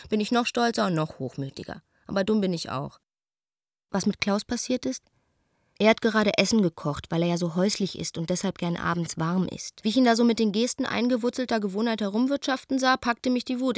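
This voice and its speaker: A woman's voice: medium pitch, dry recording, studio quality, natural voice. An actress playing a proud, lonely young stage actress reading her reflective, confiding letter in a radio play. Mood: proud, bitter. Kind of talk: radio play, letter read as intimate monologue.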